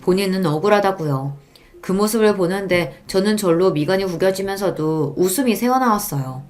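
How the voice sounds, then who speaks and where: A woman's voice: mid-range voice, animated, a little fast, a little mellow, soft background music. A woman reading a scripted first-person story in a dramatic radio-story style. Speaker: story narrator.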